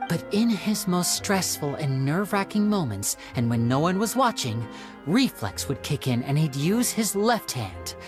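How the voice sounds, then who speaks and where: An actor voicing a young male stage magician in a video game, smooth, theatrical and explanatory. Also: on the high side, very animated, a little slow. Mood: deducing. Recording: game audio with soft music.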